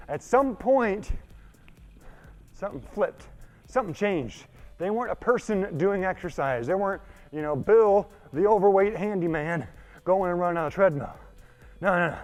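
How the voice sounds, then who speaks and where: A male fitness coach with a fairly high voice talks through a workout over music. He is winded from exercising, yet keeps a conversational, emphatic, motivational delivery, often checking in with the listener. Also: a little mellow. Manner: emphatic, conversational, frequent rhetorical check-ins. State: exerting, getting winded. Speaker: fitness trainer leading a workout. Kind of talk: motivational talk during exercise.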